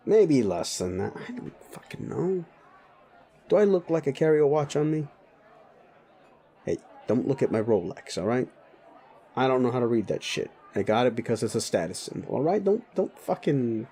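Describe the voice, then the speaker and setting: A man's voice: medium pitch, mellow, breathy edge. A man playing a cocky, sleazy werewolf drinking buddy in an audio roleplay, swearing, teasing and rambling at the listener.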